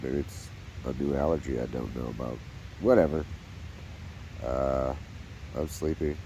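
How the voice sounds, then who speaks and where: A man's voice: a bass voice, measured pace, slight background noise, rough recording. A young man vlogging on a backpacking trip, talking casually with many fillers, his voice nasal from a cold and a sore throat. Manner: relaxed, rambling, many uh fillers. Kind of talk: casual vlog talk to camera. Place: outdoors on a hiking trail.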